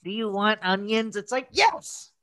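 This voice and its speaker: A man's voice: sits high, expressive, unhurried, pushed and bright, no room sound, studio quality. A man with an American accent chatting casually as a podcast co-host, informal and freely swearing. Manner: informal, profane, conversational. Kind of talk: casual chat.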